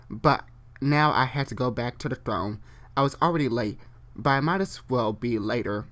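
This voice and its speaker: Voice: medium pitch, a bit bright. A person reading fan fiction aloud live, stumbling and repeating phrases, and breaking off for chatty, informal asides. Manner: halting, repeats and corrects phrases while reading. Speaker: online storyteller.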